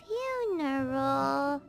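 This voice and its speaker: A woman playing a tiny, excitable, indignant childlike companion in a video game, with a squeaky voice. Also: very slow, gravelly, full character voice. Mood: indignant, puzzled. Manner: speaks of herself in the third person, exclamatory. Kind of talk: English game dub voice acting. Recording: prominent game music underneath.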